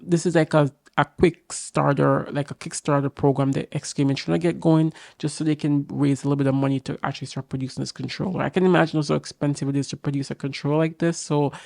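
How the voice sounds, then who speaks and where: A young man with a raspy voice from a cold, casually and eagerly reviewing a game controller to camera in a small home studio. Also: fairly high pitch, little pitch movement, fairly quick, a little mellow, no room sound. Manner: enthusiastic, talks straight to camera, many fillers. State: getting over a cold. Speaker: tech reviewer. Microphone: boom mic on arm.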